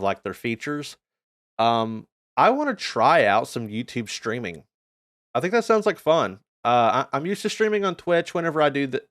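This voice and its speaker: A man's voice: mid-range voice, fairly bright tone, no room sound, studio quality. A North American man giving a casual channel update as a gaming YouTuber, talking informally and earnestly in a rambling way.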